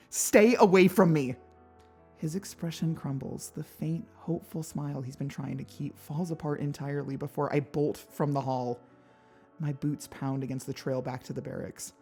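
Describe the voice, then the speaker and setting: A woman narrating first-person fiction as a dramatic, expressive audiobook reader. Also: a bass voice, slightly rough. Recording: background music behind the voice.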